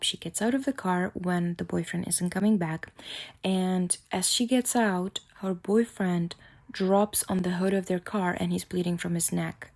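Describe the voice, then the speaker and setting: A woman's voice: on the low side, vocal fry, darkish tone. A woman in her thirties with a Czech accent hosting her own fan podcast in chatty, enthusiastic solo talk. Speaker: podcast host.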